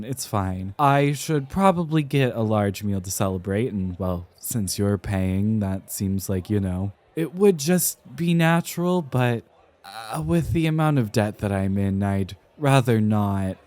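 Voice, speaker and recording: A man playing an anxious, rambling young architect in an ASMR roleplay, with crisply enunciated, theatrical but hesitant speech. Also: on the low side, expressive, takes it slowly, darkish tone. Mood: flustered, tipsy, then sheepish, grateful. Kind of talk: ASMR roleplay, speaking to the listener.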